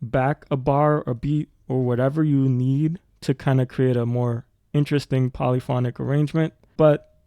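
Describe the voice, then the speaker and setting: A man with a mellow, relaxed voice walks through a production tutorial in casual language. His pitch is average, and the sound is close and dry, with no room on it. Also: normal speed, clear, resonant tone, no echo.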